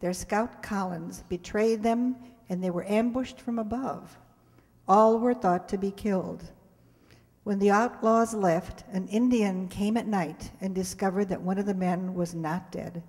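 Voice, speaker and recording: An older woman, around her seventies, introduces a show from a script. Her pitch is average and her delivery measured with dry asides, carried through a stage microphone into a reverberant hall. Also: steady tone, a little mellow. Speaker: presenter introducing a stage radio play. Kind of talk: scripted introduction read to an audience. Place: stage in a community hall, indoors. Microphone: handheld-style microphone on a stand.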